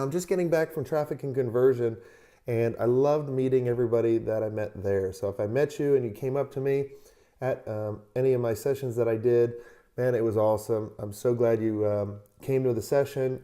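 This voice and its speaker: A man with a mellow, darker voice that is still hoarse, hosting his own advice show. He talks straight to his listeners in an upbeat, motivational coaching tone and urges them to take action. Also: medium pitch. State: hoarse, recovering from a lost voice. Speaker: podcast host. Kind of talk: solo advice podcast.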